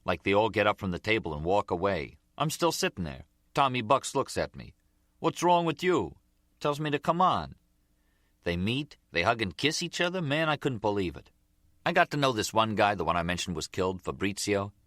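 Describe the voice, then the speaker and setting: A man's voice: lowish voice, big pitch swings, normal speed, a bit bright, no echo, studio quality, voice pushed up. A man voicing an eager, resentful young mob underling in an audiobook, whiny and insistent, with street-tough grammar. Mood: aggrieved, incredulous.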